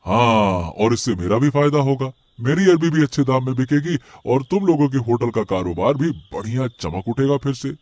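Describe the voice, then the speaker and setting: An actor playing a hearty, supportive village farmer in a Hindi cartoon story, speaking emphatically. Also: male voice, medium pitch, lively intonation, normal speed, hardly any pauses, a little mellow, dry recording, lightly acted. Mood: encouraging. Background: background music.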